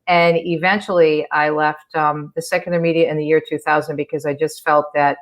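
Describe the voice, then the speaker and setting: Middle-aged woman guest, very low voice, earnest storyteller. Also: level tone, fairly quick. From New Jersey. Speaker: guest, radio host. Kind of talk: casual interview chat.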